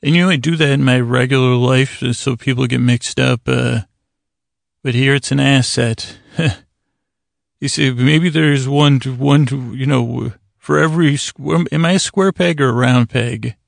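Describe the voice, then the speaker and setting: Male sleep-podcast host, mid-pitched with plenty of vocal fry, meandering and soothing, drawn-out phrasing, stop-and-start. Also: no echo, studio quality. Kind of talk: rambling bedtime monologue to lull listeners to sleep.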